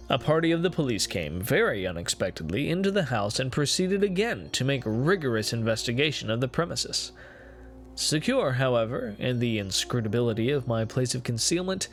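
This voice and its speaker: With music behind him, a man voices an unhinged murderer telling his own tale of guilt. He speaks at a middling pitch in ornate, deliberate phrases. The delivery is theatrical gothic horror, gloating and swelling with emphasis. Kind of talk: dramatic gothic horror reading.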